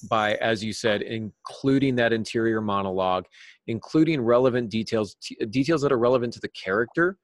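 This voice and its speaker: A man hosting a podcast discussion on writing craft, explaining in a halting way with wry asides. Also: medium pitch, no echo. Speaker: podcast host and writing teacher.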